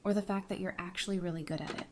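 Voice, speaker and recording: A woman's voice: fairly low pitch, fairly even intonation, rapid-fire, lightly acted. Woman acting a frank survivor, noisy background. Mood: wry, curious. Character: frank, curious, plain-spoken woman survivor. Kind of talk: fan audio drama acting.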